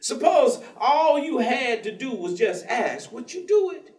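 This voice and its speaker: Animated, high-pitched folksy man. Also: a little slow, heavily acted. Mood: earnest, pleading. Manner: folksy, colloquial, persistent. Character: earnest, folksy working man pleading with a despairing professor. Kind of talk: staged dramatic reading. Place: theatre stage. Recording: echoey theatre, distant microphone.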